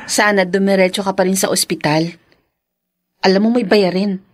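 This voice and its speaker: A woman's voice: mid-range voice, fairly even intonation, normal speed, natural voice. An actress playing a weary, devoted young mother and neglected wife in an emotional, pleading Filipino radio drama style. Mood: hurt, reproachful.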